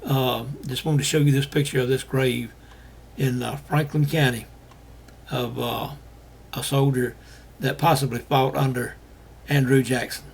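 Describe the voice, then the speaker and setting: An older man from Alabama talks to his viewers in a hoarse, gravelly voice that keeps breaking into fry. His delivery is halting, full of pauses and restarts, and his voice sounds husky and strained. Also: mid-range voice, fairly even intonation. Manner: halting, many fillers and restarts. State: losing his voice. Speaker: host. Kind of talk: casual monologue to viewers.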